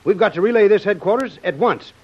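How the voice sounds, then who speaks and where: A man's voice: high-pitched, lively intonation, fast talker, husky. A man playing an eager, gallant patrol sergeant in old radio drama style. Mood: eager, helpful. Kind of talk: 1940s radio acting. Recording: dull old radio recording.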